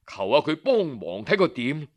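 A man voicing a discreet royal younger brother who acts as a helpful go-between, giving measured, confiding advice in storybook dialogue. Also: mid-range voice, sing-song, voice pushed down.

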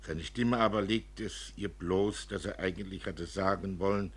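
A man narrating a literary story for radio in an expressive way. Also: deep voice, normal speed, husky.

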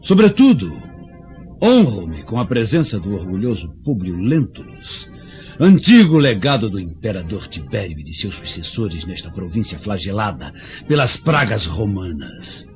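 Vengeful old chieftain, wildly swooping delivery. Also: male voice, fairly low pitch, sing-song, normal speed, a little mellow. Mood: gloating, menacing. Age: older. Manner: gloating, menacing, mock-courteous. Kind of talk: theatrical radio drama acting. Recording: dull lo-fi radio drama, music behind.

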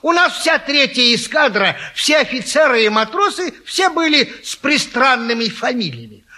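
Very high-voiced, giggly old navy officer. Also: male voice, very animated, moderate pace, pushed and bright, full character voice. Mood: amused, rambling. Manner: rambling, chuckling, hesitant. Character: chatty, giggly, good-natured old retired navy lieutenant. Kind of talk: classic stage comedy acting.

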